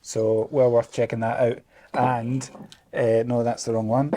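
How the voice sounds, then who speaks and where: A man with a Scottish accent, co-hosting a casual chat in an easygoing way, often laughing and pausing on fillers. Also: mid-range voice, flat delivery, mellow. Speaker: co-host.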